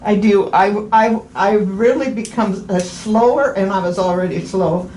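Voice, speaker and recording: A woman's voice: medium pitch, measured pace, mellow. A woman in her eighties chatting casually as a patient, rambling and often restarting her phrases. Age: 80s. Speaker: podiatry patient. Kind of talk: casual chat during an appointment. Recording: echoey room with faint background noise.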